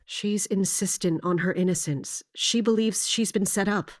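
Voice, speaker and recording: An actress as a steadfast female captain of mercenaries in a fantasy audio drama. Her mid-pitched speech is formal and measured. She stays respectful while standing her ground. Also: lightly acted. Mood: controlled, defensive. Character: loyal, resolute female mercenary captain serving royalty.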